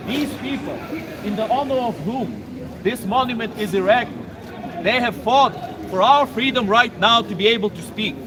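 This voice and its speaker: A man giving an impromptu speech as host of an outdoor rally, in an emphatic, repetitive, declamatory way. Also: sits high. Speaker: rally speaker, event host. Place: outdoors in an urban street. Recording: noisy outdoor street crowd.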